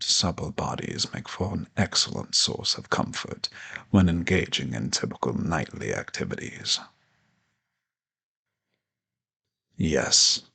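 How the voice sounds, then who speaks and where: Man voicing a deep, gravelly, haughty dragon professor. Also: heavily acted. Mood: condescending, softening. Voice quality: gravelly, husky low voice. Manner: pompous, condescending, ornate formal diction. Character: haughty ancient dragon professor, male, condescending yet flirtatious. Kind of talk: scripted audio roleplay monologue addressed to the listener.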